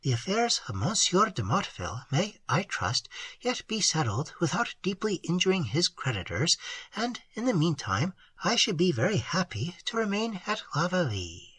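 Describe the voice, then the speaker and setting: A soft-spoken, grieving young woman, as a reader puts her on. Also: mid-range voice, very animated, moderate pace, husky, no room sound, pitched above the performer's own voice. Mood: calm. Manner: soft, quiet, restrained. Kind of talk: audiobook character voice.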